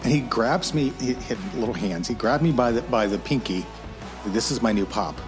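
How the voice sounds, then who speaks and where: A man tells a moving personal story, and his pitch rises and falls a lot as he goes. Faint music plays underneath. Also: animated, normal speed.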